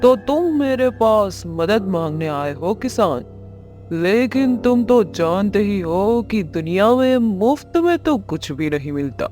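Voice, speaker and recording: A sinister, greedy magician from a cartoon fairy tale, acted by a man, with a notably high voice. He bargains slowly and with menace, and music plays underneath. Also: big pitch swings, darkish tone, heavily acted. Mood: sly, bargaining. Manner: menacing, slow, deliberate. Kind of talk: Hindi animated moral story acting.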